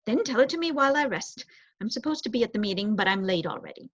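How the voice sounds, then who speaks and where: Lady doing a kindly tired old man mouse from a storybook, measured, husky, muffled. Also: on the high side, animated. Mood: weary. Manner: measured, cordial, reassuring. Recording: roomy echo, dull muffled sound.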